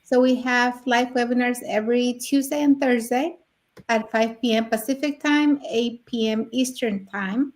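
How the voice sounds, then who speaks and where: A woman's voice: fairly high pitch, fairly even intonation, unhurried, a little mellow. A middle-aged or older woman from Mexico co-hosting a live online talk and presenting to viewers. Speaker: co-host of an online business talk show.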